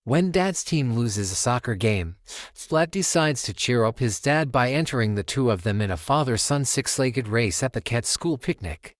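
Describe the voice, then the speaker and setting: A man's voice: mid-range voice, animated, normal speed, no echo, studio quality. A man narrating a children's storybook expressively as a storytime reader.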